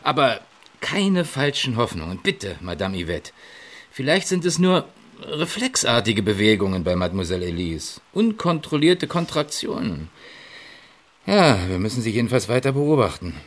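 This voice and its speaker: An actor playing a matter-of-fact doctor who is in a hurry to leave, in a radio play. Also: male voice, medium pitch, sing-song, moderate pace, close to an everyday voice. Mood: brisk.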